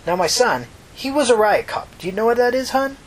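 A man's voice: very high voice, expressive, brisk, pitched above the performer's own voice, low-quality recording. A man voicing a tired, solemn, talkative old woman with a folksy, earnest manner in a fiction reading. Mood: reminiscing, emphatic.

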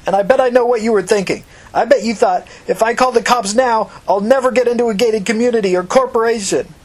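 A man's voice: very high voice, fast talker, pitched above the performer's own voice. A man voicing a vengeful, foul-mouthed young woman who threatens and commands in short emphatic bursts in an audiobook story. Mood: accusing, anguished.

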